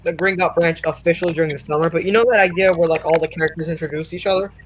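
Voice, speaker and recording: A man's voice: on the high side, fairly even intonation, rapid-fire. A young man chatting casually on an internet voice call. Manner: chatty, says his voice is usually loud. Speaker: co-creator and voice actor. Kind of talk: casual chat over a voice call. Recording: noisy internet call line.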